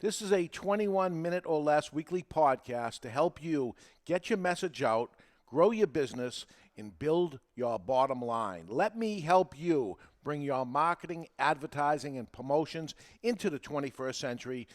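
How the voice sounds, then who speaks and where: A man's voice: on the high side, lively intonation, moderate pace. A man hosting a podcast, teaching listeners in an emphatic way and often checking that they follow. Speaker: podcast host and marketing coach. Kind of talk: animated instructional monologue to listeners.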